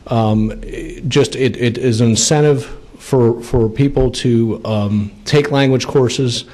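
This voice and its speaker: A man's voice: medium pitch, steady tone, slow, darkish tone. A middle-aged man presenting a bill to a legislative committee from a podium microphone, with many fillers and restarts. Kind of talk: committee testimony.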